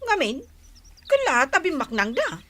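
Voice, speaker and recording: High-pitched, sulky, envious village woman. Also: wildly swooping pitch, unhurried, noisy background, heavily acted. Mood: envious, scornful. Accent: Ilocano. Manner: whiny, grumbling, indignant. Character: envious, sulky village woman who grumbles about her rich neighbours. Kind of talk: Ilocano radio drama acting. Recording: music bed behind the voice.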